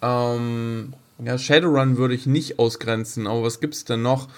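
A man's voice: medium pitch, fairly even intonation, measured pace. Male podcast co-host, casual fan talk, direct and teasing delivery. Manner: blunt, pressing, teasing. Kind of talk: casual sci-fi fan chat.

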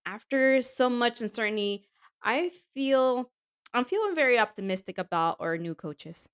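A woman co-hosting a podcast in casual chat, enthusiastic and laughing often, her voice a little raspy from yelling. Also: fairly high pitch, expressive, normal speed. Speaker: podcast co-host. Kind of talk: casual chat about women's soccer.

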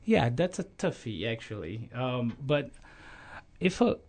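A man from Brazil speaks English with a Brazilian Portuguese accent in a relaxed interview. His pitch sits in the middle. He is sincere, pausing often with fillers and starting over mid-sentence. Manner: hesitant, many fillers and restarts, earnest. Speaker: guest. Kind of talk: casual interview conversation.

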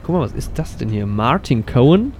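A man's voice: medium pitch, sing-song, fairly quick, darkish tone. A man with a mid-range voice co-hosts a light improvised audio story. He speaks in casual banter and thinks aloud with some hesitation. A faint layer of noise sits behind his voice. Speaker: podcast co-host.

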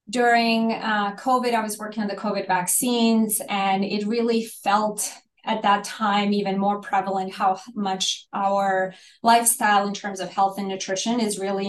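Middle-aged woman from Bosnia, earnest interview guest, mid pitch, roomy sound. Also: a little hiss or hum. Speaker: health and nutrition coach. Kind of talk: interview guest telling her story.

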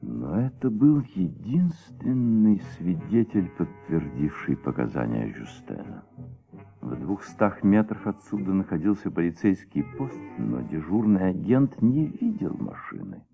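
A man performing as the dramatic, measured narrator of a detective story in a Soviet radio play. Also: sits low, sing-song, gravelly, full character voice. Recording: dull old radio recording, faint music.

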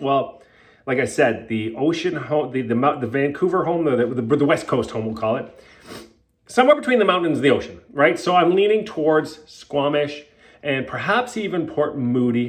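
A man talking on his own podcast, speaking emphatically and candidly, with fillers and the odd curse. He sounds a little sniffly from a cold, and his voice sits somewhat high. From Canada. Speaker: podcast host and health coach. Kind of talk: casual solo podcast monologue.

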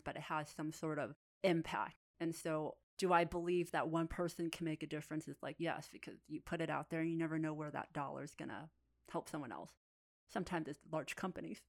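A young woman co-hosting a podcast, talking in a thoughtful, agreeable way. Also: deep voice. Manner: thoughtful, agreeable, wraps up the show. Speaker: podcast co-host. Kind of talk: casual podcast chat.

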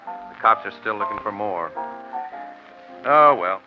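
A man's voice: lowish voice, animated, measured pace. An American man playing a savvy, easygoing crime photographer in 1940s radio drama, talking in casual slang. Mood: musing, easygoing. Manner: slangy, conversational. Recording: muffled old radio broadcast, music behind.

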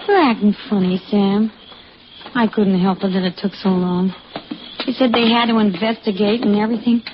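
An actress playing a betrayed, defiant wife in an old-time radio mystery, emotional and melodramatic. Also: female voice, medium pitch, steady tone, normal speed, natural voice. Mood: suspicious, uneasy. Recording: muffled, noisy old radio recording.

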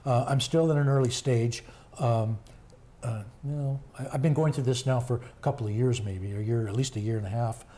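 An older man with a hoarse voice, talking slowly with many fillers in a casual family interview. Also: mid-range voice, warm tone. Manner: slow, halting, many fillers. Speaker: grandfather being interviewed.

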